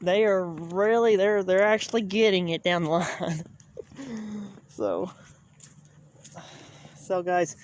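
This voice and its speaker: A man's voice: sits high, fairly even intonation, slow, pauses often, slight background noise. A man vlogging from his car, rambling and restarting as he keeps calling out to the viewers. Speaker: railfan vlogger. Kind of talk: casual vlog talk to viewers. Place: inside a parked car by a highway. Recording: car radio music playing behind. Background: country music from the radio.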